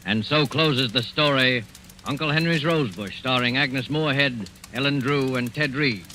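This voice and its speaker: An actor playing a sinister, suave host who narrates a 1940s radio mystery show in a measured, theatrical manner. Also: male voice, mid-range voice, very noisy, close to an everyday voice. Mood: suavely ominous.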